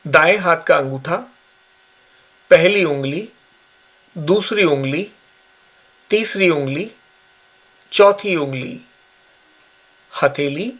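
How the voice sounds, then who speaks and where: A man guiding a yoga nidra relaxation session with slow, calm, measured instructions. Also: on the high side. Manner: slow, calm, measured guidance with long pauses. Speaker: yoga teacher.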